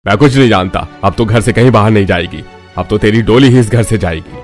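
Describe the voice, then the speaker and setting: A man's voice: lowish voice, brisk, soft background music, close to an everyday voice. A man voicing a domineering, angry middle-aged father in a family cartoon, commanding and scolding. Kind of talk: Hindi cartoon family drama acting.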